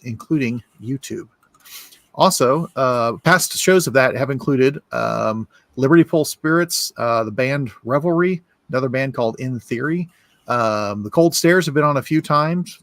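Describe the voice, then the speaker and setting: A man of middle pitch co-hosts a whiskey podcast and runs through announcements in a friendly, rambling way with plenty of uhs. His sound is dry and close, with no room echo. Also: a bit bright. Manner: friendly, rambling, with filler words. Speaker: co-host.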